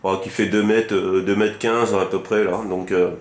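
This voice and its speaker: A man's voice: deep voice, level tone, normal speed. A man in a casual CB radio chat, speaking in a rambling, repetitive way as a hobby operator. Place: indoors at a fixed home radio station. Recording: roomy echo around the voice.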